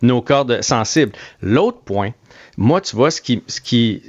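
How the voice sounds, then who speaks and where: Reasoning Québécois radio host, muffled. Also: male voice, medium pitch, lively intonation, no echo. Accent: Québécois French. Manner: measured, reasoning, halting restarts. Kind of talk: opinionated radio debate. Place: radio studio. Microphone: studio microphone.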